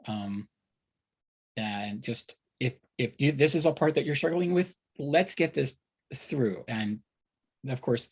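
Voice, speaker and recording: A man chatting casually as an interviewer, speaking haltingly as he thinks aloud. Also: medium pitch, husky. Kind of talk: casual conversation.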